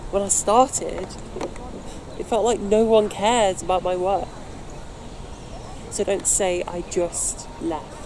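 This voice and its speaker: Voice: medium pitch, steady tone, slow pace, pauses often, a little mellow. A young performer acting a bitter, wounded musician in a live play, speaking with reproachful, emphatic repetition. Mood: hurt, reproachful. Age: young adult. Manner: emphatic, reproachful, repeating phrases. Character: bitter, wounded young musician confronting an old best friend. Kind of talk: live theatre dialogue. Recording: noisy outdoor location recording.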